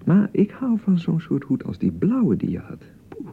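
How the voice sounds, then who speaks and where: A man's voice: sits high, huge pitch swings, normal speed, gravelly, phone-quality sound, a little hiss or hum, exaggerated voice. An actor playing a young husband on honeymoon in a radio drama, coaxing and placating with pet names as his patience frays. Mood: coaxing, awkward. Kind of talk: naturalistic radio drama acting.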